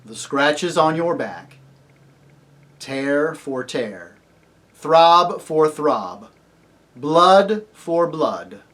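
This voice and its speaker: A man voicing a great lion, a grave, commanding yet tender divine king in a storybook reading, speaking deliberately. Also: on the high side, fairly bright tone. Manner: slow, grave, deliberate, authoritative.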